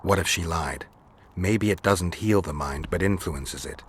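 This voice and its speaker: A man acting a stoic, battle-worn monster hunter in a video game, terse and deadpan in delivery. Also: sits low, measured pace, slight background noise. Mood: grim, measured. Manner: deadpan, terse, matter-of-fact.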